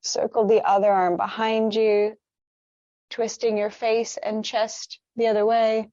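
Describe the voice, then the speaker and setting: A woman leading a guided meditation with stretches, speaking in a gentle, soothing, unhurried manner. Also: on the high side, flat delivery, dry recording, muffled recording.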